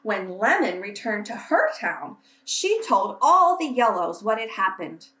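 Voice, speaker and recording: A woman reading a children's story aloud expressively, with deliberate phrasing meant for young listeners. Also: medium pitch, very animated, bright, forceful tone. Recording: roomy echo, distant microphone.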